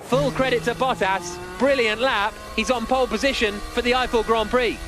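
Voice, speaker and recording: A man giving live motor racing commentary with an excited, urgent play-by-play delivery. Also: very high-pitched, moderate pace, over music.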